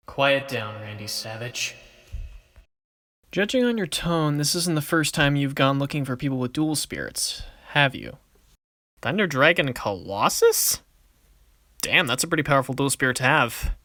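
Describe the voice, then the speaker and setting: A man's voice: medium pitch, sing-song, normal speed, sharp tone, dry recording, clean studio sound. A man voicing a confident, wisecracking young card duelist in an anime fan production, casual and theatrical. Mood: casual, wry. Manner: casual, wry, theatrical when announcing moves.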